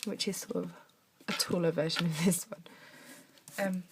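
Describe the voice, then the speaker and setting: A young woman with a croaky voice from a cough, chatting through a henna craft demonstration at her desk at home. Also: on the low side, steady tone, unhurried, background noise. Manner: chatty, talks while drawing, drops to soft mutters. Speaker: craft tutorial maker.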